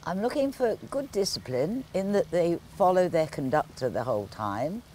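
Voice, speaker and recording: An older woman with a deep voice and careful, clear enunciation, answering questions as a talent show judge. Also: sing-song, moderate pace, warm tone, a little hiss or hum. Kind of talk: TV interview answers.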